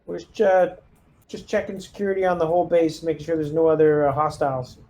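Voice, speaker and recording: A man's voice: a little high, level tone, fast, darkish tone, lo-fi sound. A man talking informally and hesitantly as a player in a tabletop roleplaying game, thinking aloud in character. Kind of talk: casual in-character table talk.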